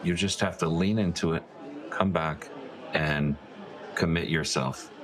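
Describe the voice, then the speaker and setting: A man playing a stoic, patient adviser in a fantasy tabletop game, speaking in a measured, encouraging way. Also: sits low, steady tone, a crowd in the background, rough recording. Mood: calm, encouraging. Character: patient, stoic, large blue-skinned robed male adviser. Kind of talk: improvised tabletop roleplay dialogue.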